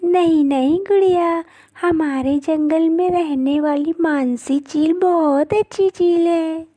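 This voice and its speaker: A woman voicing a caring, patient mother bird in a cartoon story, gentle and instructive. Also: very high voice, fairly even intonation, slow. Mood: reassuring. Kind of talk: cartoon story character acting.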